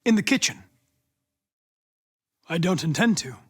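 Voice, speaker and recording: A man's voice: high voice, expressive, measured pace, a bit bright, slightly rough, full character voice. A man playing a polite, precise young male android detective in a dramatic video game. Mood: calm, formal. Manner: precise, formal, clipped. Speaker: police investigator android.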